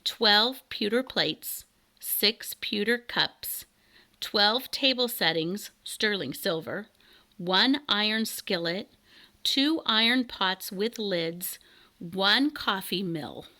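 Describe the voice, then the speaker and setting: A woman's voice: mid-range voice, animated, takes it slowly, sharp tone, full character voice. A woman voicing an eager, earnest boy adventurer in a children's storybook.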